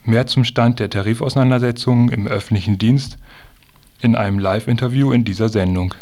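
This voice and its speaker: A man's voice: mid-range voice, a little fast, darkish tone, slight background noise. A man reading scripted news as a radio presenter.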